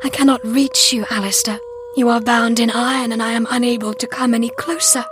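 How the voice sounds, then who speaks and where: An anguished she-monster in love, played by a woman narrator, pleading in a lifted, dramatic voice. She sounds like a gothic storybook heroine torn by devotion, with soft music underneath. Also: a little high, fairly even intonation, brisk, pitched above the performer's own voice, lightly acted. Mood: desperate pleading.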